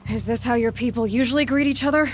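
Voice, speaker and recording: A woman playing a young, self-reliant huntress in a video game cutscene, measured and direct with dry wit and compassion. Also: fairly high pitch, slight background noise, natural voice. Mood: focused, analytical. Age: young adult.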